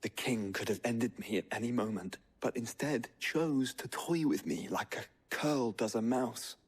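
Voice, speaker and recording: An ailing young noble in a fantasy game cutscene. His voice is markedly husky and breathy at a middle pitch, and his phrasing is formal, eloquent and earnest, with frustration underneath. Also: measured pace. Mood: frustrated. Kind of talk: dramatic video game cutscene acting.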